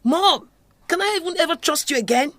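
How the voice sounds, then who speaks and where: A woman's voice: extremely high pitch, lively intonation, fairly quick, a bit bright, no room sound. A young woman playing an emotional, incredulous Nigerian student daughter in an audio drama. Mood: shocked, hurt.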